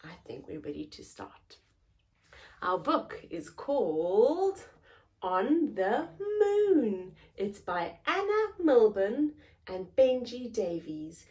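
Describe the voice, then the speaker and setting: Slow, high-pitched woman reading to young children. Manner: expressive, simple phrasing with questions to young listeners. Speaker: children's story time host and reader. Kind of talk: read-aloud storytelling for young children.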